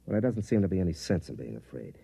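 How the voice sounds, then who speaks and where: An actor playing a cynical middle-aged American career soldier in a 1950s radio drama, plain-spoken and brooding. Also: male voice, low voice, big pitch swings, fast talker, vocal fry, mellow, breathy edge, no echo, exaggerated voice. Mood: wary, resigned. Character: weary, cynical career soldier, twenty years in army. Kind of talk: 1950s radio drama acting, first-person narration. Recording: old band-limited 1950s radio recording.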